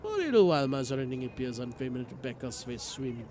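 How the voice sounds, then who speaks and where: A man streaming a game, reading its story aloud in an expressive, theatrical way. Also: medium pitch, fast talker, a little hiss or hum. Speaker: streamer playing a visual novel. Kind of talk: dramatic read-aloud narration with live reactions.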